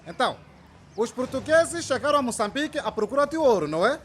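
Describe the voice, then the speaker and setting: A patient, keen male schoolteacher in a radio serial, pitched very high. He instructs and checks with questions over faint background noise. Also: big pitch swings, fast talker. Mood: instructive, encouraging. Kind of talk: radio drama acting.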